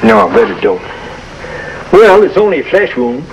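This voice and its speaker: An actor playing a reassuring country doctor in a 1950s television drama. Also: male voice, medium pitch, big pitch swings, normal speed. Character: brisk, reassuring country doctor, folksy grown man. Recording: faintly noisy 1950s TV soundtrack.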